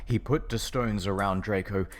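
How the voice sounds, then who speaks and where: A man's voice: lowish voice, fairly even intonation, normal speed. A man narrating a comic story in a mock-serious, theatrical way. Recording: music behind the voice. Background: music.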